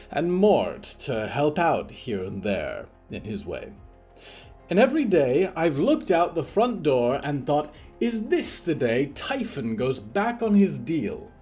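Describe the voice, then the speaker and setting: A man's voice: medium pitch, darkish tone, slightly rough, voice pushed up, full character voice. A man playing an anxious, fussy male devil in an audio drama, with an emphatic, exasperated delivery.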